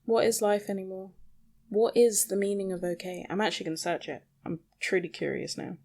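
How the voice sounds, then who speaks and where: A woman's voice: medium pitch, fairly even intonation, a little fast, creaky, a little mellow. A woman rambling casually as a solo podcast host, with a self-deprecating, mildly sweary way of talking.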